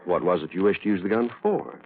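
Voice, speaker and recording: A polite gun shop salesman, eager and folksy, with a lowish, animated voice. It sounds like old radio drama on a muffled recording. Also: fast. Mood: obliging, eager. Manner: deferential, hesitant, folksy salesman. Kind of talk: old radio thriller acting.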